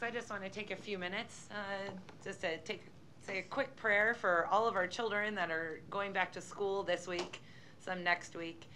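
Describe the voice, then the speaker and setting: A woman's voice: lowish voice, sharp tone, a little hiss or hum. A woman council member with a Midwestern American accent, giving a casual, earnest invocation with fillers at a desk mic in a council chamber. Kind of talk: informal prayer and reminder. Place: large city council chamber. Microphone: desk microphone.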